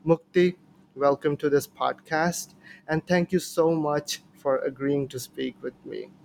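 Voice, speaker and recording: Male podcast host, slightly high voice, formal and courteous, scripted introduction style, faint background noise. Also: unhurried, gravelly, darkish tone. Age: adult, professor. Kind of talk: scripted introduction and interview hosting.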